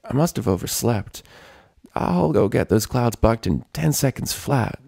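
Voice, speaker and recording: A man voicing a brash young female cartoon pegasus pony, gruff, groggy and irritable. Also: medium pitch, animated, a little slow, a little mellow, no room sound, studio quality, voice pushed up, full character voice. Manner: groggy, mumbled, laid-back.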